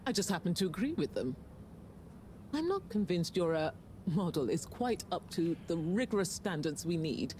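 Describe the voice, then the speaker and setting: An actress playing a condescending female company official who talks in a smooth, dismissive way. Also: fairly low pitch, sing-song, moderate pace, darkish tone, a little hiss or hum.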